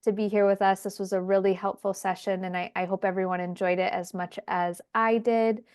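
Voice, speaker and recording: A woman's voice: medium pitch, little pitch movement, fairly quick, a little mellow, clear, resonant tone, no room sound, studio quality. A woman hosting an online question-and-answer webinar, talking in a friendly way with many ums, heard over a video call. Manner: friendly, filler-heavy with frequent ums. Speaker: webinar host, head of community.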